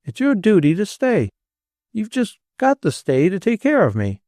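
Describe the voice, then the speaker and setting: A man's voice: sits high, huge pitch swings, normal speed, darkish tone, dry recording, clean studio sound, voice pushed up, full character voice. Man doing a breezy young New Yorker. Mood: breezy, coaxing. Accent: New York. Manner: breezy, plain-spoken, coaxing. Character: brash, kindly young New York man.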